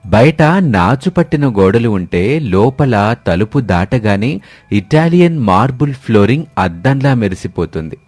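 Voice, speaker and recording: A man's voice: medium pitch, animated, measured pace, darkish tone, no echo. A man narrating a scripted moral story as a storyteller.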